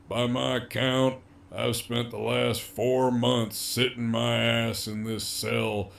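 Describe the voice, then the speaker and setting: A man's voice: mid-range voice, creaky, a bit bright. A man playing a sly, cocky captive villain in an audio drama, with a harsh, scratchy voice and a sardonic drawl. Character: sly cocky imprisoned male villain with damaged throat.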